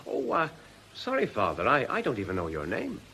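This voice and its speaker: An actor playing a breezy, chatty business partner in a radio drama. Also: male voice, mid-range voice, huge pitch swings, a little fast, gravelly, bright, forceful tone, breathy edge, heavily acted. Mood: apologetic.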